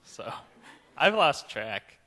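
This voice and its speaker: A young man, a music student, speaking in a relaxed, slightly joking way. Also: mid-range voice, very slow.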